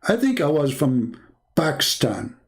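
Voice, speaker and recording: Higher-pitched, sarcastic Arabic-accented man. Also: sing-song. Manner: sarcastic, halting with repetitions, acting out dialogue. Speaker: live show host. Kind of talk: casual, joking storytelling monologue.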